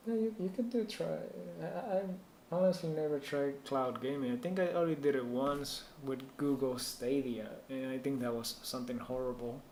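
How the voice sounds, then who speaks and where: A man from Puerto Rico, a native Spanish speaker, hosts a casual tech stream in a roomy, echoey space. His medium-pitched voice rambles as he thinks aloud, with faint noise underneath. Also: normal speed, darkish tone. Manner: rambling, thinking aloud while reading chat. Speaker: live stream host giving PC troubleshooting advice. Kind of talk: casual live stream chat.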